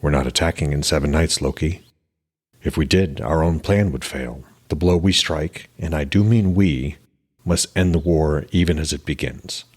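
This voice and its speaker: A man voicing a calm, implacable giant war chief in an even, measured manner for a fantasy audiobook. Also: rumbling low, husky, pitched below the performer's own voice. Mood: calm, firm.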